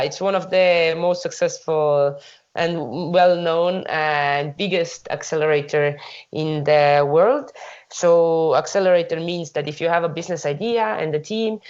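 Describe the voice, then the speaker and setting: Woman on a podcast, low voice, kinda muffled audio, lots of uh's. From Estonia. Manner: explanatory, steady with fillers. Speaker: guest, marketing professional. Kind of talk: interview answers.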